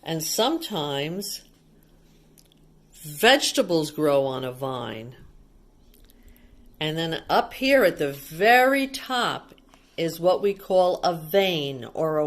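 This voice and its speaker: A woman reading a picture book aloud to young children like a teacher, explaining things simply and asking them questions. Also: sits low.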